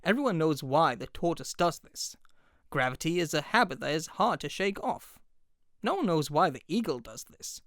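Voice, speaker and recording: Expressive male storyteller, very lively pitch. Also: normal speed, no room sound, studio quality. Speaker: voice actor.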